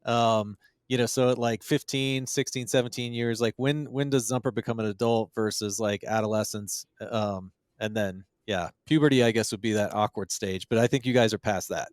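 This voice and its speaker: A man co-hosting a podcast in a smooth, clear voice, mid-range in pitch. He cuts in with playful, meandering questions. The sound is close and dry, with no room around it. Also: fairly even intonation, moderate pace, dry recording. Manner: joking, jumps in, rambling questions. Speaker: podcast co-host. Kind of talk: casual podcast chat.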